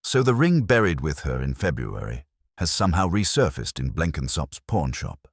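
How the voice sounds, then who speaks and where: A man's voice: extremely low pitch, very animated, moderate pace, vocal fry, slightly rough, no echo, studio quality, heavily acted. A man voicing a dutiful, literal-minded police constable who sounds earnest and puzzled.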